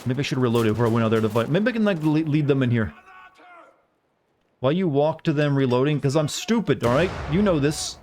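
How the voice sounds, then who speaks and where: Fast-talking American male game streamer. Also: medium pitch, animated, darkish tone, a little hiss or hum. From southern United States. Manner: rambling, jokey, self-deprecating. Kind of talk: casual live gameplay commentary, chatting with viewers.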